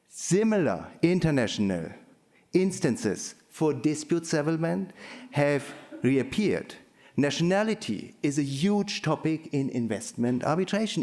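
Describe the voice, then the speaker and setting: A man presenting at an academic conference in a measured, halting way, often correcting himself. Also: a little high, a little mellow.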